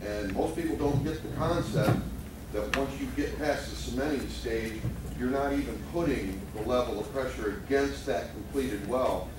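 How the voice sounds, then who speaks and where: Loud confident guy in the audience asking a question, booming echo, noisy. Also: moderate pace. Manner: loud, self-assured. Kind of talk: question from the floor. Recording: very echoey hall, far mic, noisy.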